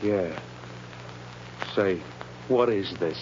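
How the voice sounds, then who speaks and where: An actor playing a tough-talking, easily rattled gangster in 1930s radio crime drama, with slangy, blustering delivery. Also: male voice, low voice, extremely slow, darkish tone. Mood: defensive. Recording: muffled old radio recording with hiss.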